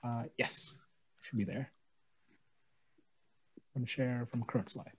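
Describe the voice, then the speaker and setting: Man hosting casually, in halting phrases. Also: mid-range voice, a bit bright, a little hiss or hum. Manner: halting, informal. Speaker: host. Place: indoors, on a group video call.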